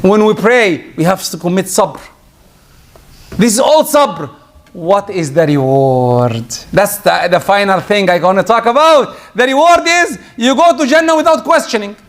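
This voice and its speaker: A man giving a Friday sermon in a high voice, switching into quick recitation and prayers. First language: Arabic. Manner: lively preaching, quick Arabic recitation and prayers. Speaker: preacher.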